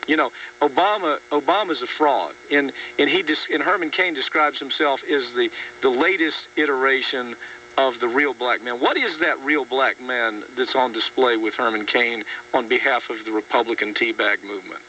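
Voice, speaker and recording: A man's voice: medium pitch, normal speed, bright, forceful tone. A man hosting a political radio talk show, speaking emphatically and sardonically, often repeating words for stress. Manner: mocking, sardonic. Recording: dull, distant radio audio with faint hum.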